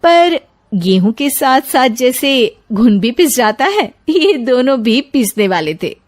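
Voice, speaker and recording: A woman's voice: on the high side, big pitch swings, slow. A woman reading scripted narration for a moral story as its narrator.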